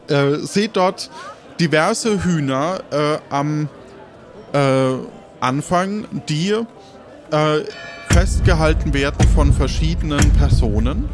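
Man, game master, improvised narration, slow, searching for words, higher-pitched voice. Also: faint music behind.

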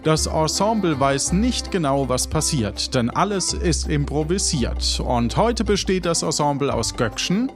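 Music bed, fairly high male voice, audio adventure host, presenter-style introduction. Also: expressive, a little slow, few pauses. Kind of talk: lively scripted-style show introduction.

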